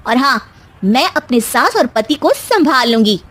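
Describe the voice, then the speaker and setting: Woman playing a high-voiced, assertive young bride. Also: sing-song, measured pace, fairly bright tone, heavily acted. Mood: firm, indignant. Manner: polite, pointed, firm. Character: young, composed but assertive newlywed daughter-in-law.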